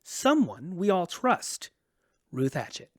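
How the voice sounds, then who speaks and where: A man's voice: high voice, wildly swooping pitch, slow pace, no echo, studio quality, full character voice. An actor playing an officious, self-important male passenger activist in a sci-fi radio drama, speaking emphatically and suspiciously. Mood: conspiratorial, determined.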